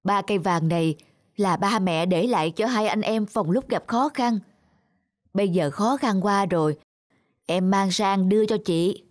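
Calm, kindly middle-aged elder brother. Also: male voice, high-pitched, measured pace, dry recording, clean studio sound. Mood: gently advising. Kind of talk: animated moral tale dialogue.

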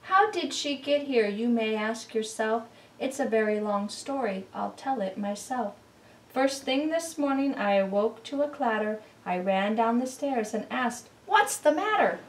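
A middle-aged woman giving an expressive, deliberate picture-book read-aloud as a children's presenter. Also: fairly high pitch. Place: indoor living room beside a Christmas tree. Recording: roomy, distant camera microphone.

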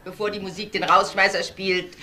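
A woman's voice: medium pitch, flat delivery, moderate pace, natural voice. An actress playing an older, strict mother in a German crime audio drama, formal and imperious. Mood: commanding. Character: commanding, strict matriarch hosting a party. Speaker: mother of grown sons. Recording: loud music under the dialogue.

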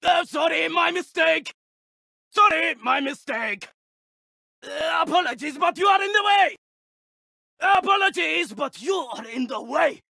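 Medieval eastern warrior, video game battle barks: very high strained shouts, slow emphatic delivery, dramatic man of war. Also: vocal fry, no echo, studio quality, full character voice. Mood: apologetic, courteous.

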